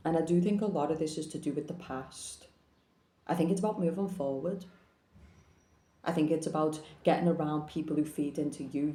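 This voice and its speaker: Frank, plain-spoken female tarot reader, mellow tone, roomy recording. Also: sits low. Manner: blunt, frank, swears casually. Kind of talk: casual tarot reading to camera.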